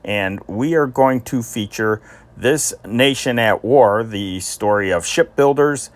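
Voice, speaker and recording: Folksy male radio show host, mid pitch. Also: takes it slowly. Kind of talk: informal presenting, sign-off.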